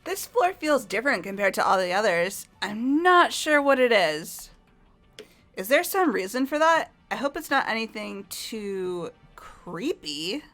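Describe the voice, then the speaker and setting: Girl doing an earnest nervous teen student for a game, high bright voice, lots of melody. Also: big pitch swings, a little slow, faint music behind. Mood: uneasy, wondering. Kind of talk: fan playthrough character voice acting.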